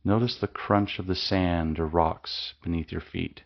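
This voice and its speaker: A man leading a guided mindfulness meditation with slow, calm, soothing instructions and long pauses. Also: low-pitched, gravelly, lo-fi sound.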